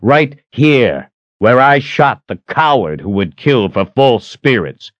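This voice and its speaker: A man's voice: low-pitched, expressive, normal speed, a little mellow, dry recording, muffled recording. A man voicing a cold, defiant fantasy hero in an audiobook, taunting and intense. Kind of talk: dramatic audiobook character acting.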